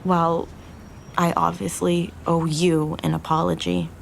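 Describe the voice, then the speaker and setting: A young woman in an audio drama, earnest and full of regret. Her voice sits low with a creaky edge, and she opens up haltingly, as if confessing. There is faint noise behind her. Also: level tone. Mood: apologetic. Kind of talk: naturalistic audio drama acting.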